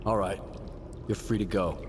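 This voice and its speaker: A man acting distrustful wanderer, male, fairly low, blunt, terse, video game drama. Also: steady tone, moderate pace, background noise, close to an everyday voice. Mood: cold, curt.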